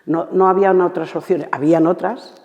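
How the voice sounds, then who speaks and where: Gravelly woman in her seventies. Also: fairly low pitch, fairly even intonation, brisk, darkish tone, big-room sound, dull audio. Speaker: former shoe factory worker. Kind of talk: documentary interview testimony.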